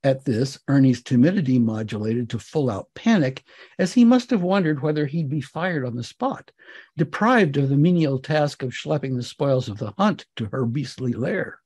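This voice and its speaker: A man giving an expressive, wry and theatrical narration of comic fiction, as an author reading his own work. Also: medium pitch, moderate pace, husky.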